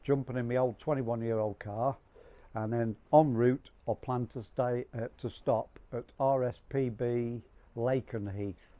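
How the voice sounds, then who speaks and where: A middle-aged man with a cold, croaky and hoarse, chatting casually to camera in a rambling, friendly vlog. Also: medium pitch, fairly even intonation, unhurried, mellow, dry recording. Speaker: vlogger.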